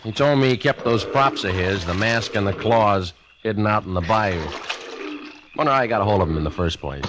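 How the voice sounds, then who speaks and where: An actor playing a skeptical, dry police inspector in the terse manner of 1940s radio drama. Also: male voice, fairly low pitch, a little fast, close to an everyday voice. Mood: sober, curious. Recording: hissy, noisy vintage radio recording.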